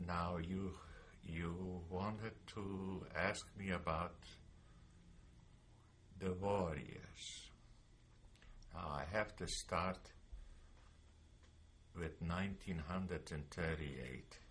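An elderly man speaks in a very deep voice, slowly and haltingly, often repeating a word before going on. He gives a testimony while seated in his living room. A faint hiss of room ambience sits under the voice. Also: fairly bright tone. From Carpathian Ruthenia, Czechoslovakia. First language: Yiddish. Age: older. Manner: slow, halting, repeats words while recalling dates. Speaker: Holocaust survivor giving testimony. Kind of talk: recorded oral history testimony. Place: living room at home with bookshelves.

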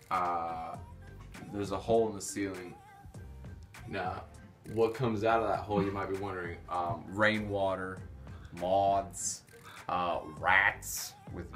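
A man's voice: lowish voice, fairly bright tone. A man in his thirties chatting casually and sardonically as he shows people round a house, with mock-showy slang and swearing, recorded on a handheld camera indoors. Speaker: vlogger giving a house tour. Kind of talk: casual, joking house-tour chat. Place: small rooms of a rundown house.

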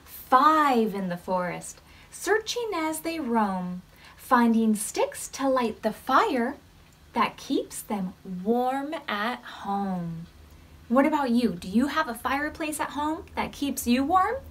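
An American woman in her thirties leading storytime as a children's librarian, reading aloud expressively to preschoolers and putting questions to them. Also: a little high. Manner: expressive, measured reading with questions to young listeners.

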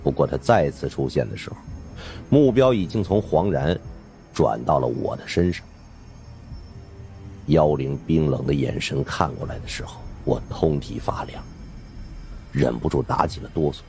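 Casting: male suspense audiobook narrator, deep pitch, dramatic lively intonation, soft music bed. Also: moderate pace, pauses often. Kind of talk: dramatic scripted narration of supernatural suspense fiction.

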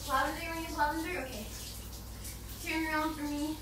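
A woman's voice: high-pitched, steady tone, close to an everyday voice. A young woman playing a playful, affectionate, teasing girlfriend in an intimate ASMR roleplay, coaxing in a girlish murmur. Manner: giggly, coaxing, unhurried murmuring with pauses. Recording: echoey, distant, noisy with running bathwater.

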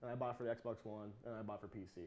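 A man in his twenties hosting a casual panel chat about video games in a jokey, animated way. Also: on the low side, rapid-fire. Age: 20s. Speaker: talk show host. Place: small TV studio, seated round a table. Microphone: lapel mic.

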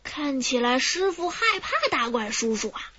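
Woman voicing an eager young apprentice thief, high bright voice, slightly muffled, radio drama. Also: lively intonation, moderate pace, slightly rough, dry recording, heavily acted. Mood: teasing. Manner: eager, chattering, fussing.

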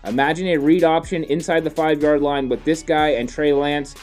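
A man in his thirties, a podcast co-host, explaining football draft moves in a steady, casual way with frequent fillers. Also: on the high side, normal speed, faint music behind. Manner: steady, explanatory, many "you know" fillers. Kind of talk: casual sports analysis chat. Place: home studio, indoors. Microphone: studio mic on boom arm.

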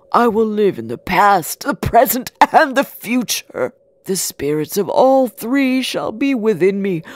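A woman's voice: low voice, creaky, pitched below the performer's own voice, full character voice. A woman voicing an impassioned, exclaiming old miser in a storybook reading. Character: miserly old man, frightened, pleading, then giddily joyful.

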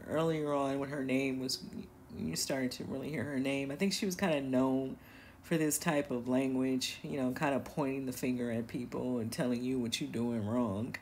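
A woman's voice: extremely low pitch, vocal fry, a little mellow, a little hiss or hum. A woman hosting a gossip commentary show, talking casually and hesitantly with many fillers and small laughs. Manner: chatty, hesitant, full of fillers and little laughs. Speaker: commentary channel host.

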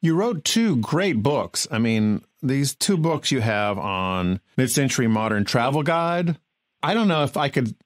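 A man's voice: medium pitch, very animated, a little slow, no echo, clean studio sound. A man hosting an architecture radio show, chatty and enthusiastic as he asks questions. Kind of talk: casual interview.

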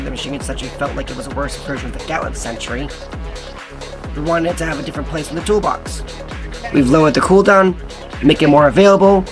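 A young man with a hoarse, partly lost voice, casually reading game patch notes aloud as a gaming channel host. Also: fairly high pitch. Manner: casual, reads aloud with stumbles.

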